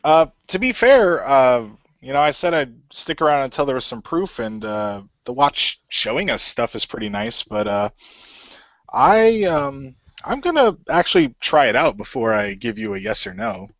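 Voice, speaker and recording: A man playing a skeptical new recruit to a hero team, talking in a casual, halting way. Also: mid-range voice, big pitch swings, no room sound, lightly acted. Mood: hesitant, skeptical. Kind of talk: amateur radio play acting. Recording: dry, slightly muffled home recording.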